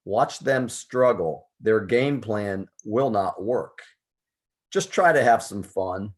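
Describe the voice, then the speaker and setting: An American man hosting a radio poetry program, speaking in an earnest, reflective, emphatic way, whether chatting or reading. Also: lowish voice. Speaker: poetry show host. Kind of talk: conversational radio talk and spoken-word reading.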